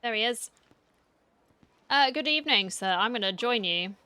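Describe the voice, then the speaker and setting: A woman's voice: a little high, animated, normal speed, sharp tone, clear, resonant tone, soft background music. Casual woman reacting to a game. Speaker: game streamer.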